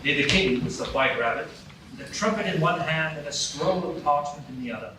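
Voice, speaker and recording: A man narrating a live radio play in a measured storytelling manner. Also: fairly high pitch, expressive. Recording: echoey live stage from far off, with noise.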